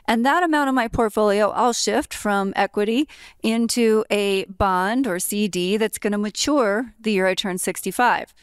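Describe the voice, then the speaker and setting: Smooth-voiced woman in her fifties. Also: mid-range voice, no room sound, studio quality. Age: 50s. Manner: measured, methodical explainer. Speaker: retirement planning guest. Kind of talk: explanatory interview talk. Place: hotel suite set with armchairs. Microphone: boom-arm podcast mic.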